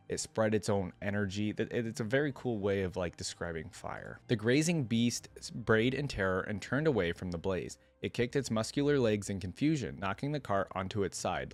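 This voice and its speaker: A man's voice: lowish voice, animated, moderate pace. A man hosting a reaction video, reading a story aloud and breaking off into casual, musing commentary with hesitant asides.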